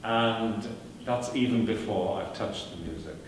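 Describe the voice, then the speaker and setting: A man whose first language is Welsh lectures in a measured, reflective manner, pausing often with fillers and restarting his sentences. His voice sits a little low. He is recorded from afar in a large, reverberant hall with noticeable background noise. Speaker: performer giving a talk. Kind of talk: lecture answering audience questions. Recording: echoey hall recorded from a distance.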